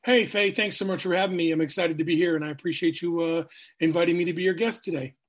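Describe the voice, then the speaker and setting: A man's voice: on the high side, steady tone, quick pace. A middle-aged man, a sales coach telling his life story as a podcast guest, candid and rambling with many fillers. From New Hampshire, USA.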